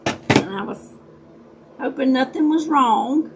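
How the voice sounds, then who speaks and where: A woman with a raspy voice, chatting casually with her viewers during a crafting livestream and greeting them by name. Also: high voice, animated, slow, slight background noise, low-quality recording. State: raspy voice, dry throat. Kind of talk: casual chat while crafting.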